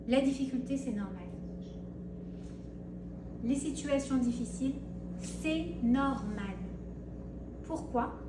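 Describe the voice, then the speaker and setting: Femme au ton animé, pièce résonante. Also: female voice, a little high. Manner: animated, acts out the listener's pleas. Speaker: Torah teacher. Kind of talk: spoken Torah class to women. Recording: roomy, distant mic with hiss.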